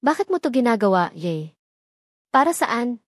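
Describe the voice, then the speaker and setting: Storybook part: a trapped young bride, angry and defiant, straining to keep her voice steady, read by a woman narrator, dry close sound. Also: on the high side, moderate pace, dry recording. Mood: defiant anger.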